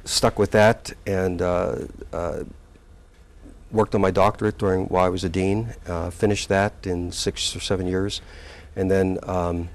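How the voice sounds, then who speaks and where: Low-voiced man in his sixties, halting. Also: flat delivery. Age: 60s. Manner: halting, with many fillers and restarts. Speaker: community college president, guest. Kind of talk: relaxed interview recollection.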